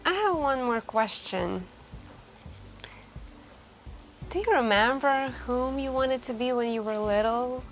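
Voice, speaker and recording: A woman playing a mysterious, lonely late-night café stranger in an intimate ASMR roleplay, soft-spoken and wistful, musing hesitantly with lingering pauses. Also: sits high, lively intonation. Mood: curious, reminiscing. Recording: background music.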